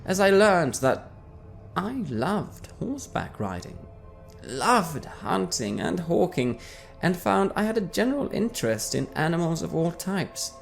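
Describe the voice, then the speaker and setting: A man reading his tabletop character's backstory aloud in the first person with a measured storytelling cadence. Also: high-pitched, big pitch swings, vocal fry. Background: faint background music.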